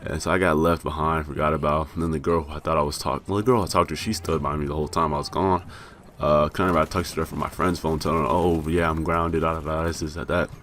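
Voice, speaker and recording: A young American man telling a rambling, profane story about himself with self-mocking laughs, his voice a bit raspy from being high. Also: steady tone, fast talker, fairly bright tone, slight background noise. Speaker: vlogger. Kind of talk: casual storytelling.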